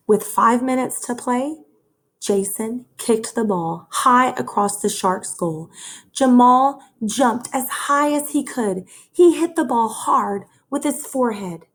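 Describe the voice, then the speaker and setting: A woman reading a children's story aloud in a measured, expressive way, as a teacher would for young listeners. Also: a little high. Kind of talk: children's read-aloud narration.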